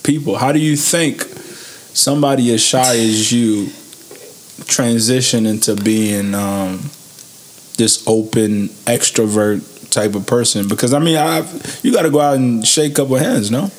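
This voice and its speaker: A man's voice: medium pitch, unhurried, rough recording. Laid-back young man interviewing, joking. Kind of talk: casual interview chat.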